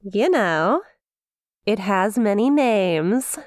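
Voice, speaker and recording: A woman's voice: medium pitch, animated, drawn-out words, no room sound, clean studio sound. A woman playing a perky, smug demon imp in an ASMR roleplay, teasing with playful mock sympathy and a possessive streak. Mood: teasing, gloating. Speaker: audio roleplay performer.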